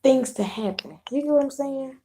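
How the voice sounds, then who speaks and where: A hoarse woman with a sore throat, hosting a casual live video chat with her viewers, rambling and restarting her sentences. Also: sits high, noisy background. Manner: unhurried, rambling, clears throat, frequent restarts.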